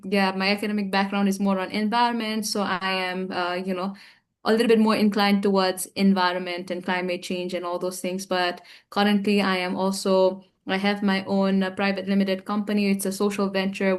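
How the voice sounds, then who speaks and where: Female guest, Nepali accent, clear smooth voice, fairly flat intonation, loosely phrased informal delivery. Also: mid-range voice. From Kathmandu, Nepal. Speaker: guest panelist, engineer and entrepreneur. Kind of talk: informal self-introduction. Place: online video call.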